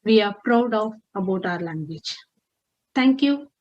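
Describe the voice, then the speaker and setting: A woman's voice: medium pitch, lively intonation, unhurried, darkish tone. Woman on a lo-fi video call. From India. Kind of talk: reading a prepared talk. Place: home room with window blinds. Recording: dull lo-fi video call audio.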